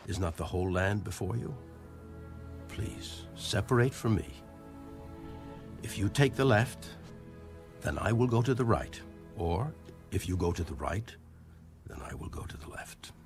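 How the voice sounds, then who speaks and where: An actor playing a peaceable, entreating herding patriarch in an audio scripture drama. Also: male voice, sits low, lively intonation, a little slow, pauses often. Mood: entreating, conciliatory. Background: loud music and bleating sheep.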